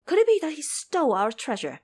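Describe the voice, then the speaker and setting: A woman's voice: huge pitch swings, a little fast, darkish tone. A woman voicing a young Taoist boy attendant who is indignant and accusing. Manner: shrill, accusing.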